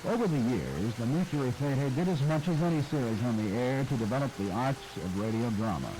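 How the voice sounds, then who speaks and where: A man giving scripted documentary narration in a measured, articulate manner. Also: medium pitch, lively intonation, background noise.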